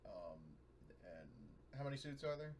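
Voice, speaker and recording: Hesitant male tabletop player, medium pitch, casual table talk, noisy mic. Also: very animated. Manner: hesitant, pausing often. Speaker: player in a tabletop roleplaying game. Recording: clearly noisy microphone.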